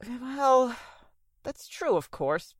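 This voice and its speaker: Actress as prim, lively radio building manager. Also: female voice, sits high, extremely slow, slightly rough, no echo, studio quality, heavily acted. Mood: doubtful. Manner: proper, polite, hesitant. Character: prim, fussy but trusting female building manager. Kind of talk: 1940s radio drama acting.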